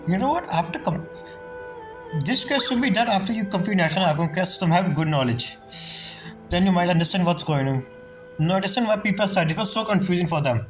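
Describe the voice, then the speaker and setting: Female gamer, casual commentary, thinking out loud, repetitive phrasing, faint music behind. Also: lowish voice, a little fast. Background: game music.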